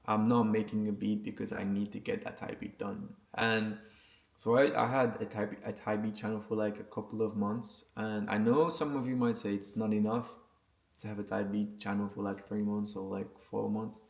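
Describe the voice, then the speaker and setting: A man's voice: fairly low pitch, little pitch movement, moderate pace, creaky, darkish tone. A man who speaks English as a second language, chatting casually and unscripted to camera as a vlogger, in an informal, slangy, sweary and earnest way with frequent check-ins. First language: not English. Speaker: vlogger and music producer.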